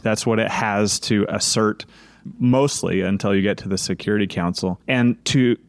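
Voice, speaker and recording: A man giving unscripted opinion commentary as a co-host, building his points in a deliberate, rhetorical way. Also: fairly low pitch, no echo.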